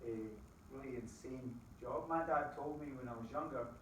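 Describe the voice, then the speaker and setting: A middle-aged Scottish man giving a lively motivational talk to a live audience, quizzing the crowd with folksy asides. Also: medium pitch, normal speed, slight background noise. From Scotland. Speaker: business opportunity presenter. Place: presentation room with an audience. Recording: very echoey room, distant mic.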